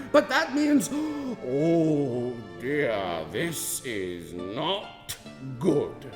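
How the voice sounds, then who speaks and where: High-pitched excitable old inventor. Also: male voice, exaggerated voice. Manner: theatrical, exclamatory, flustered. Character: excitable, bumbling, self-important old male inventor. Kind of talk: radio play acting. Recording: roomy echoing hall sound, music behind.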